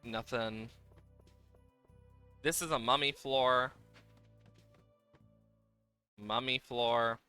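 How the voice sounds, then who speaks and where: A man with a very bright, sharp voice that comes through smooth and clear. He talks casually as a game streamer, swearing and exclaiming at the game in playful exasperation. Faint music plays behind him. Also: mid-range voice, fairly even intonation, extremely slow, pauses often, dry recording. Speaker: livestreamer. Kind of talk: casual gaming livestream commentary.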